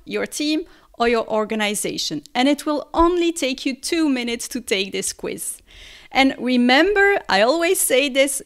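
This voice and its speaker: Woman, podcast host, high voice, upbeat, encouraging, direct address to listeners. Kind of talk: podcast interview and promo.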